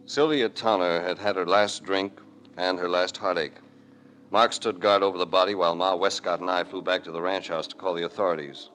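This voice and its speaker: An actor playing a cool, sardonic American investigator in 1940s hard-boiled radio style. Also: male voice, sits low, steady tone, a little fast, fairly bright tone. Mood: grim narration. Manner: dry, sardonic, clipped. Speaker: lead and narrator. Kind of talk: 1940s radio acting, noir narration. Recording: vintage radio drama with music.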